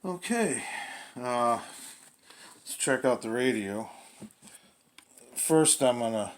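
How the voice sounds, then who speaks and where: A man giving casual, unscripted unboxing commentary as a gadget reviewer, halting and thinking aloud as he goes. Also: medium pitch, lively intonation, a little hiss or hum.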